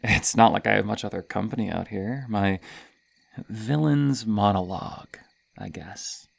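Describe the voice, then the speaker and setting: A man playing a gleeful, hesitant serial killer villain toying with prey in a horror roleplay, stammering and pausing dramatically between breathy sighs. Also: fairly low pitch, exaggerated voice. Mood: taunting, menacing. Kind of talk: ASMR horror roleplay monologue.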